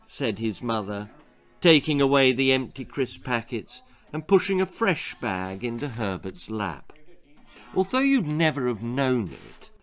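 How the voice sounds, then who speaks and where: A man in his sixties with a British accent reading his own children's story aloud in a theatrical, deliberate, suspenseful manner. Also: mid-range voice, fairly bright tone. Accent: British English. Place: small book-lined room indoors.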